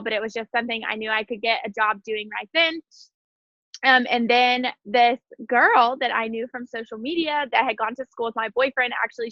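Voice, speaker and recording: A woman's voice: on the high side, no room sound, muffled recording. A woman in her twenties, slightly stuffy from sneezing, chatting casually about her story on a video call from outdoors. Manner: chatty, giggly, many fillers. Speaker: guest speaker. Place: outdoors in the wind.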